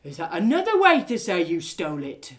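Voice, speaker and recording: Woman as a male jail guard, audio drama, curt, sneering, commanding, forceful. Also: fairly low pitch, huge pitch swings, brisk, noisy background. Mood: stern, accusing. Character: gruff, rude male prison guard George.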